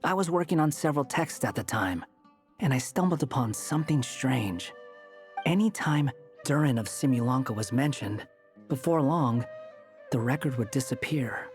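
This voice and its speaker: An actor playing a sardonic, aloof young traveling scholar in a video game, dry and smug. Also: male voice, medium pitch, animated, normal speed, faint music behind, lightly acted. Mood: serious.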